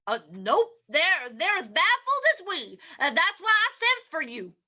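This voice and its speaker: A woman acts a folksy, baffled sheriff in an old-time radio play. The voice is high and swings widely, with slow, halting delivery. The recording is a little dull. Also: huge pitch swings, pushed and bright, heavily acted. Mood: baffled, grave. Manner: hesitant, halting. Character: baffled small-town male sheriff, hesitant, folksy. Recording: dull lo-fi sound.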